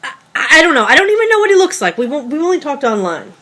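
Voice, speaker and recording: A female actor plays a secretive, defensive girlfriend in a naturalistic drama read. Her voice is on the high side and swings widely in pitch. She speaks haltingly and evasively. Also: big pitch swings, pushed and bright, full character voice. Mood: defensive, pleading. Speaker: actor in a script table read.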